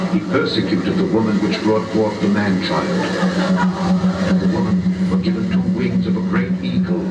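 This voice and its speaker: A man narrating a puppet show as a dramatic, solemn scripture reading, with theatrical, drawn-out emphasis, recorded live in a theater. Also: lowish voice, very noisy. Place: small indoor theater, live performance. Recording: distant echoey live theater recording, loud music. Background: loud guitar and instrumental music.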